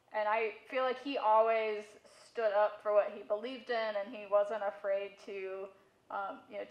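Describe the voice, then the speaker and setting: A woman with a mid-pitched voice chats casually as an interview guest. She speaks through a megaphone, so the sound is dull, with strong room echo. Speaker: museum curator, interview guest.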